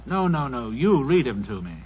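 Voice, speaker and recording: An actor playing a lazy, fat, brilliant older government official with a British accent and a languid, dismissive manner, in 1940s radio drama style. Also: male voice, mid-range voice, sing-song, moderate pace, darkish tone, slightly rough. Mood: brusque, superior. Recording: muffled vintage 1940s radio recording.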